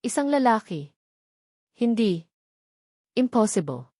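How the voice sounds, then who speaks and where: A woman's voice: on the high side, very animated, slow pace, warm tone, no room sound, studio quality, exaggerated voice. A woman voicing a heartbroken, angry husband in a narrated romance story, emphatic and dramatic. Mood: shocked.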